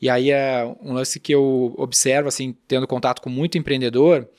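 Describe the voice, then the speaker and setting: A Brazilian man hosting a podcast, talking in a relaxed, slangy way and explaining things through everyday examples. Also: medium pitch, moderate pace, dry recording, studio quality. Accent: Brazilian Portuguese. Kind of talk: casual podcast talk.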